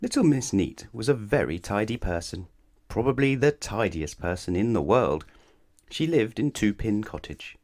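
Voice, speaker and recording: A man reading a children's bedtime story aloud as narrator, expressively, with dramatic pauses and questions to the listener. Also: lowish voice, lively intonation, a little mellow.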